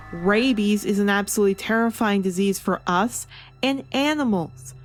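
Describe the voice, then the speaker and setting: A woman with a fairly high voice presents a scripted review over background music. She argues her points in an explanatory, opinionated way. Key words get clear emphasis. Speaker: comic book review host. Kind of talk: scripted video essay commentary.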